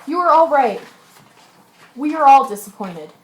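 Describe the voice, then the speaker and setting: A woman's voice: high voice, lively intonation, measured pace. A woman playing a resolute male ship's mate in an amateur radio drama, earnest and commanding, sometimes stumbling over lines. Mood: firm, earnest. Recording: echoey room.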